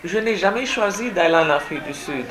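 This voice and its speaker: A woman's voice: lowish voice, expressive, fairly quick, noisy background. A woman born in Congo whose first language is French, answering radio interview questions as an author, hesitant with many fillers and repeated words. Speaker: guest author.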